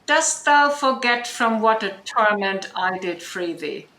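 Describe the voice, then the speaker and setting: Woman as imperious sorceress, bright voice, slow. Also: mid-range voice, lively intonation. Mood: stern, indignant. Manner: grand, measured, authoritative. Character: commanding, imperious sorceress, mistress of a spirit. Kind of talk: Shakespearean audio drama acting. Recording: roomy remote recording with faint noise.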